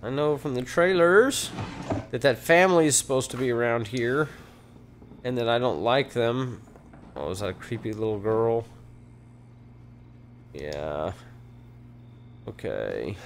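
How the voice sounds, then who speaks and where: A hoarse man with a Texan accent, chatting nervously and muttering as he plays a horror game, swearing at the scares. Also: mid-range voice, expressive, a little slow, pauses often, a little hiss or hum. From Texas. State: hoarse from allergies. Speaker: let's play gamer.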